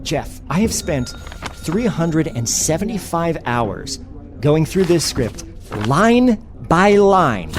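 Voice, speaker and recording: A man's voice: fairly high pitch, very animated, slow, soft background music. A man playing a self-important, exasperated screenwriter in a comedy sketch, wordy and emphatic. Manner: indignant, incredulous, emphatic, wordy. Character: exasperated, self-important male screenwriter defending his script. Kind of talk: comedy sketch dialogue, pitch meeting.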